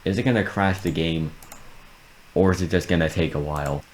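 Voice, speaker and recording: A man's voice: sits low, a little fast, slight background noise. A man giving casual, amused and reactive gaming commentary, talking through what he does as it happens. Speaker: video creator narrating a game modding experiment. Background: background music.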